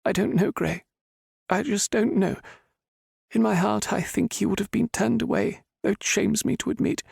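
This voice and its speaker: A man's voice: high-pitched, moderate pace, gravelly, husky, no echo, studio quality, voice pushed up, exaggerated voice. A man doing a tearful grieving mother. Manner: soft, tearful and pleading. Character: grieving middle-aged mother, gentle and earnest.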